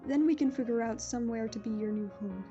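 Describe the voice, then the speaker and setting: A woman playing a calm, kindly royal pony ruler in an audio drama, speaking in a gentle, measured and reassuring way. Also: a little high, close to an everyday voice. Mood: gentle, caring. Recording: muffled, dull audio under music.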